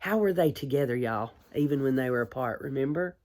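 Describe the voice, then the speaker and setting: A woman, a kindergarten teacher, reading a storybook aloud to young children in a gentle, expressive way. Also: rumbling low. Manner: gentle, hushed, expressive storytelling with deliberate pauses; addresses young listeners with simple questions.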